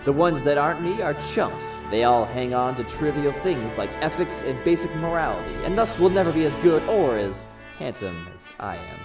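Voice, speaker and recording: A man's voice: fairly high pitch, expressive, moderate pace, distant mic. A man voicing a vain, boastful young smuggler who narrates a flashback in a parody cartoon. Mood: smug. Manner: smug, bragging. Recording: music behind.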